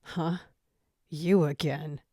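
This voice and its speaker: A woman's voice: low-pitched, steady tone, extremely slow, dark tone, husky, no echo, studio quality, heavily acted. Woman playing a curt, brooding male jackal leader. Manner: curt, disinterested.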